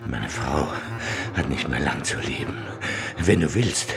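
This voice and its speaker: Gravelly, very deep old lecherous baron. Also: male voice, lively intonation, a little slow, full character voice. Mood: furtive, seductive.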